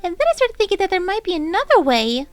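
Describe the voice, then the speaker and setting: A woman voicing an excitable young female cartoon pony, dramatic and gushing. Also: very high-pitched, quick pace, no room sound, soft background music, pitched above the performer's own voice. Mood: earnest.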